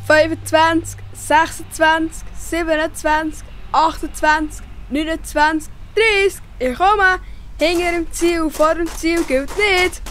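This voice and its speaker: A woman's voice: very high voice, fairly even intonation, measured pace, a bit bright, slight background noise. A woman with a Swiss accent voicing a playful, eager young male hare in a children's audio play. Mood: playful, concentrated.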